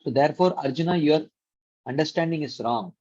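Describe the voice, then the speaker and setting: A man teaching scripture on an online call, his voice sitting slightly high for a man and coming through dry and dull. He explains patiently and at length, with frequent hesitations, and recites short verses in a chanting tone. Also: a little fast, a little mellow, no room sound. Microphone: computer mic on a video call.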